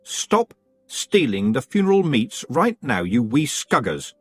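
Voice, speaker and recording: A man voicing a sharp-tongued young witch girl who talks curtly and scolds. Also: mid-range voice, wildly swooping pitch, measured pace, breathy edge, dry recording, faint music behind, full character voice. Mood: stern. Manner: curt, scolding.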